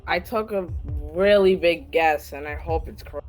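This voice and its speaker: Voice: mid-range voice, normal speed, soft background music. A contestant in a quiz game, chatting casually and unsure about his answers.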